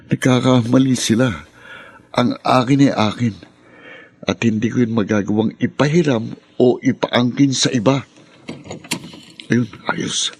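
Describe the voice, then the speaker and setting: An actor playing a bitter, rebellious young son in a radio drama, with a scornful, confrontational delivery. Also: male voice, mid-range voice, fairly even intonation, slow, pauses often, slightly rough, no echo. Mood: scornful menace. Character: Darwin, bitter rebellious spoiled young son, quick to rage.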